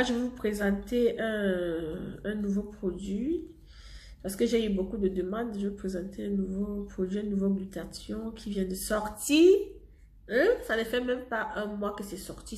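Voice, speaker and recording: A woman's voice: mid-range voice, a little mellow, roomy sound. A woman with a head cold chatting to her subscribers as she presents a cosmetics product, repeating herself and laughing often. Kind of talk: casual product vlog to subscribers.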